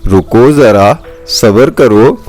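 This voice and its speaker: A calm, clever pigeon who fears nothing, voiced by a male storyteller. He talks with steady confidence in children's storybook style, with music underneath. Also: mid-range voice, slow pace. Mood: calm, confident. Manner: unafraid, self-assured.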